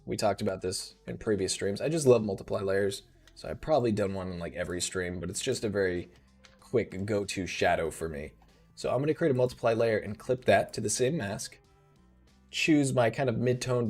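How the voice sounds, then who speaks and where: A man in his thirties hosting a live software tutorial, thinking aloud in a relaxed way, his voice a little rough. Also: on the low side. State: slightly rough voice. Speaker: host.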